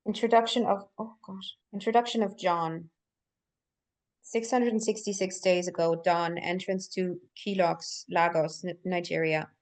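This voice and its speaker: Female narrator for a screenplay table read, lowish voice, reads directions with the odd stumble. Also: steady tone, a little slow. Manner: stumbles and repeats words while reading aloud.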